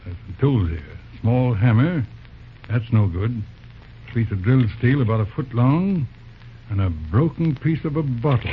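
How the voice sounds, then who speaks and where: An actor playing a calm, resourceful older uncle in an old-time radio drama, genteel and commanding. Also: male voice, mid-range voice, measured pace, close to an everyday voice. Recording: tinny, hissy old radio recording.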